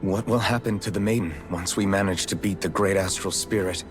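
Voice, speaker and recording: A man's voice: low voice, fairly even intonation, a little fast, over music, natural voice. A man playing a resolute young fantasy hero in a video game, serious and plain-spoken. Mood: challenging. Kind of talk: scripted video game cutscene acting.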